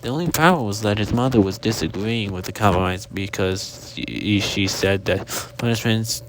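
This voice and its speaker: Low male storybook hippo, acted by a man, forgetful, kindly, formal, digressive, heavy noise on the recording. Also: moderate pace, lightly acted. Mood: reflective. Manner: forgetful, digressive, formal, losing his thread. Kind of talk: rambling storytelling monologue. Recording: very noisy amateur recording with clicks.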